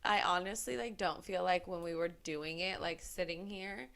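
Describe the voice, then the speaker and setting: A young woman co-hosts a casual podcast with a raspy, slightly low voice. She rambles with lots of "like" and laughs often. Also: fairly even intonation, moderate pace, fairly bright tone. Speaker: podcast co-host and editor. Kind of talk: casual chat.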